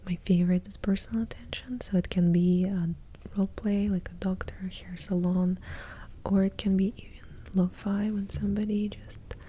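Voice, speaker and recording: A young adult woman from Russia, speaking in a hushed, breathy half-whisper as she answers viewer questions in a soft-spoken ASMR video, very close to the mic. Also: medium pitch, level tone, normal speed. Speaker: ASMR creator. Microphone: close sensitive ASMR mic.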